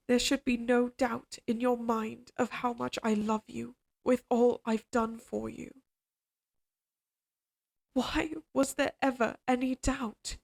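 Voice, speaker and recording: A woman voicing an obsessively devoted, quietly menacing evil queen in an audio drama, speaking in a formal, measured, imperious way. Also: a little high. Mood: insistent, possessive. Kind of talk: scripted dramatic audio roleplay.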